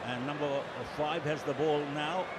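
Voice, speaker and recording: Man calling rugby over loud stadium noise. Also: on the high side, steady tone. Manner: brisk play-by-play, calling names as the action unfolds. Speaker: play-by-play commentator. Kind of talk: live rugby sevens commentary.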